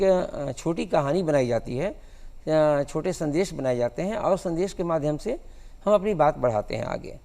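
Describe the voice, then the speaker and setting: A man in his sixties with a creaky, fry-laden voice answers interview questions in a halting, measured way. His tone is soft-edged and mellow. The recording carries a long reverb. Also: a little high. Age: 60s. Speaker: guest cartoonist.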